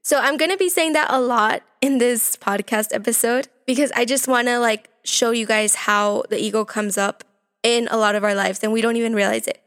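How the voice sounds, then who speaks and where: A young woman talks through a self-help podcast in a loose, chatty way, leaning on filler words as she goes. Her voice sits a little high and carries a slight rasp from allergies. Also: steady tone, normal speed, fairly bright tone. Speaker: podcast host.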